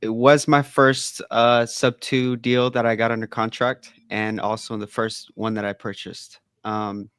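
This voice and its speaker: A man answers questions casually in a smooth, clear voice. His pitch stays quite flat, and the sound around him is dry. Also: a little slow, few pauses, dry recording. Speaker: real estate wholesaler on a panel.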